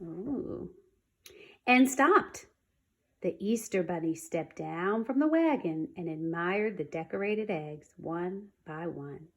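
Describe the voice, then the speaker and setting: A woman reading a children's storybook aloud in an expressive, dramatic manner. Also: lowish voice, takes it slowly. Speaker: storybook reader.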